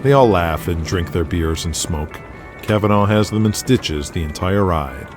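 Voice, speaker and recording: A man giving steady, matter-of-fact scripted narration for an audio drama. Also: deep voice, measured pace, over music.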